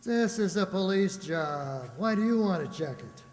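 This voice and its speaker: Man acting as sarcastic homicide cop, high male voice, officious, grumbling, radio play, long reverb. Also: animated, a little slow, darkish tone. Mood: grumbling, exasperated. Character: exasperated, sarcastic male police homicide lieutenant. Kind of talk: old-time radio detective drama acting. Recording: very reverberant room or hall.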